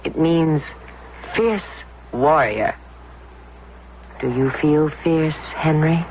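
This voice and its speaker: Teasing, slow-spoken, mysterious young temptress. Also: female voice, sits low. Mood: gently probing. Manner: teasing, deliberate, probing questions. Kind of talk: old-time radio drama acting. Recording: muffled, noisy old radio recording.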